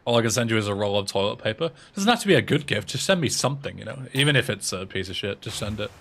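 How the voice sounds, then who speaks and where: A man's voice: lowish voice, animated, fast, vocal fry, a bit bright. A young man in his twenties with an English accent, chatting casually on a livestream and answering viewers' messages aloud. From England. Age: 20s. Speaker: streamer and speedrunner.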